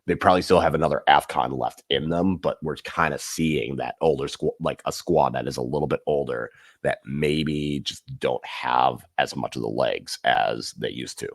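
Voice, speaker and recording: Very deep-voiced man talking football. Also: moderate pace, gravelly, no room sound, clean studio sound. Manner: loose, rambling with fillers and restarts. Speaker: podcast co-host. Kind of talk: casual sports chat.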